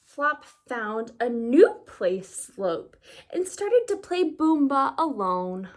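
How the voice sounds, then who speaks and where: A woman with a high, very animated voice narrates a children's story. She takes it slowly, phrasing each line expressively and deliberately for young listeners. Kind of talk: children's read-aloud narration.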